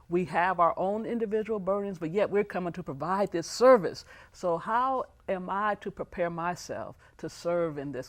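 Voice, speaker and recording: Woman hosting a panel interview. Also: lowish voice. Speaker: host. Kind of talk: panel interview, posing questions.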